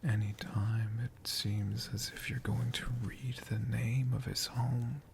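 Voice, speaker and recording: A storyteller whispering a tale for ASMR in a breathy, hushed voice, with dramatic phrasing and long pauses. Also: medium pitch, flat delivery, a little hiss or hum. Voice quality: breathy, mostly unvoiced whisper.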